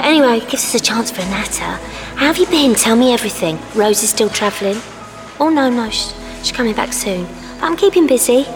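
A woman's voice: fairly high pitch, close to an everyday voice. A middle-aged woman playing a chatty, fussing working-class mum in an audio drama, gossipy and breezy. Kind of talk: audio drama monologue acting.

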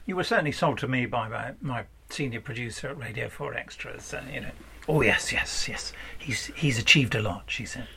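A man's voice: mid-range voice, brisk, gravelly, pushed and bright. A man hosting a casual podcast chat and interviewing, in a jokey, self-interrupting way.

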